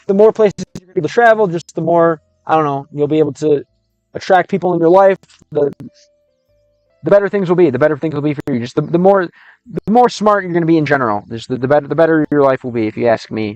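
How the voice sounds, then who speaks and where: Chatty male streamer with smooth voice. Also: a little high, darkish tone, no echo, rough recording. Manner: reads chat aloud, translates game text, restarts often. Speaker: streamer learning Russian. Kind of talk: casual livestream chat with viewers.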